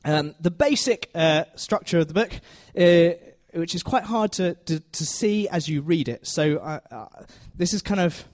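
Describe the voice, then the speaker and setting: A man's voice: fairly high pitch, expressive, a little slow, hardly any pauses, a little mellow. A man giving an informal, conversational teaching sermon, self-deprecating and often checking in with his listeners. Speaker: preacher giving a Bible talk to a congregation.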